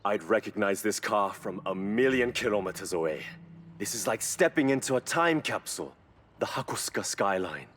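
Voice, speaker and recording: An actor playing an earnest, admiring car mechanic in a video game. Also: male voice, fairly low pitch, lively intonation, normal speed, a bit bright, slight background noise. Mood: awed, nostalgic. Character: Yuji, earnest, reverent male car mechanic. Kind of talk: video game cutscene voice acting.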